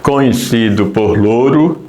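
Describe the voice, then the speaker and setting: A man, slowly recalling his past in a documentary interview. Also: mid-range voice, a little mellow. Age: 80s. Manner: unhurried, halting recollection. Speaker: former mayor interviewee. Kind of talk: documentary testimony, reminiscing. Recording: echoey room with faint ambience.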